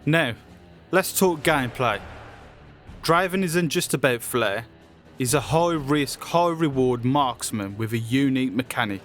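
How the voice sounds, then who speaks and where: A man's voice: mid-range voice, expressive, a little slow, a bit bright, soft background music. A man presenting a game character showcase in a scripted promotional narration style.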